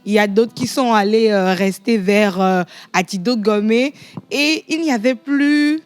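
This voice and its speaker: A woman on a studio panel reminiscing in Togolese-accented French, speaking in a measured, reflective way. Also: mid-range voice, clean tone, soft background music. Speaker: talk show panelist.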